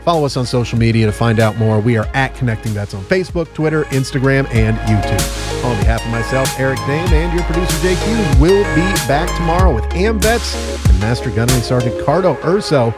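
A man's voice: mid-range voice, animated, brisk, over loud music. A man hosting a morning radio show, talking in an upbeat, chatty way and plugging the show's website between segments.

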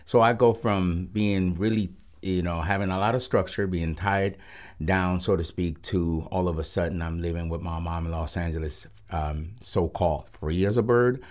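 A man from Rico, a Spanish speaker, giving a halting, reflective personal testimony as a guest pastor on a radio show. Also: low voice, a little mellow. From Puerto Rico. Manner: halting and reflective, with frequent pauses.

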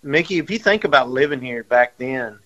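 A man, a guest on a radio show, telling stories in an animated way and often repeating himself. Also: mid-range voice, a little fast, dull audio. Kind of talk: storytelling in casual chat.

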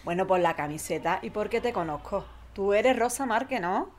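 A woman's voice: mid-range voice, expressive, moderate pace, a little hiss or hum, close to an everyday voice. A woman playing a cheerful, chatty football-fan neighbour in an audio drama, effusive and folksy, with an Andalusian accent. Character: chatty, cheerful office-worker mother, keen football fan. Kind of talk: conversational audio fiction acting.

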